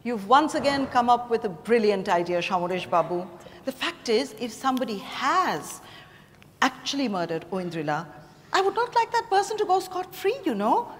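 A woman's voice: on the high side, sing-song, normal speed. An Indian-accented woman acting an authoritative, guarded bereaved mother in a staged play reading. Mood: composed, probing. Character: composed, authoritative bereaved mother, defensive under questioning. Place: stage in a hotel ballroom. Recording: reverberant hall, distant stage pickup.

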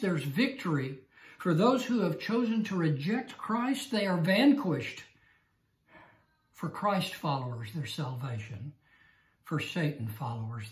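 An older man teaching a Bible study class, slow and emphatic, repeating his key phrases. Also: high voice, echoey room. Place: small room indoors. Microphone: lapel mic.

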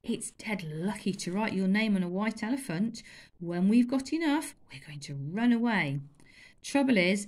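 A woman voicing a cheeky, scheming boy servant who talks in a chirpy, quick, innocent-sounding way. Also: a little high, a little mellow.

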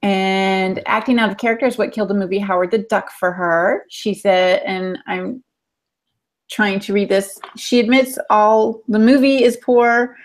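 A woman's voice: medium pitch, steady tone, unhurried. A middle-aged woman casually recapping and reading out chat comments for a podcast. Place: room at home, indoors. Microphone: earbuds on video call.

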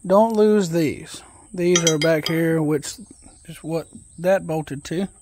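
Relaxed DIY man, unhurried and folksy, reading instructions aloud outdoors on a phone. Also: a little high, background noise. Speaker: DIY video host. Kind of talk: casual step-by-step assembly walkthrough. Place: outdoors on a wooden deck.

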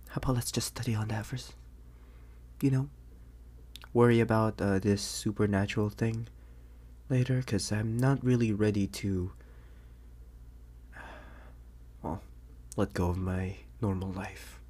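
A young man playing an anxious, bewildered teenage boy turning werewolf in an ASMR audio roleplay, speaking haltingly in a husky, breathy half-whisper. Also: fairly low pitch, a little mellow. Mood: uneasy, resigned.

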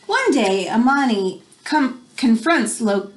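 A woman in her thirties reads a picture book aloud to children in an expressive, teacherly way, pausing to explain things. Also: fairly high pitch. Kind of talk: read-aloud storytelling with explanatory asides for children. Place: small room indoors at home. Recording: home recording with background noise.

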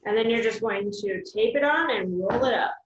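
A woman's voice: fairly high pitch, normal speed, roomy sound, muffled recording, slight background noise. An American woman in her thirties giving plain, simple step-by-step craft instructions as host of a children's video, recorded at home across a table. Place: small room at home, indoors.

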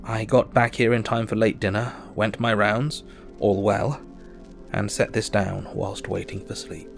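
A weary Victorian doctor reading his diary in an audio drama. His voice is lowish, with measured, formal and reflective delivery over clear music. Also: steady tone. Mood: tender reflective. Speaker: actor.

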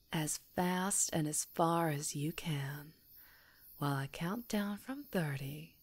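A woman voicing a predatory, teasing female werewolf in an ASMR roleplay, speaking in a slow, menacing, breathy half-whisper. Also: low voice, no echo, pitched below the performer's own voice, exaggerated voice.

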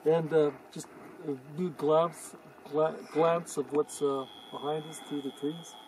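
A man's voice: fairly high pitch, little pitch movement, measured pace, darkish tone. An older man on a mountain hike, chatting casually and rambling with many fillers, filmed from a few steps away on a forest road. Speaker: hiker and backcountry explorer. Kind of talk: casual outdoor commentary. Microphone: camera held by his brother some distance away. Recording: distant outdoor voice with noise.

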